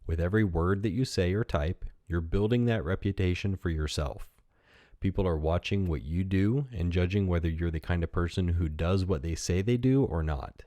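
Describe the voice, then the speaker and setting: Low-voiced man reading a children's story. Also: normal speed, dark tone, dry recording. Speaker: storyteller, podcast host. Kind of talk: read-aloud children's story narration.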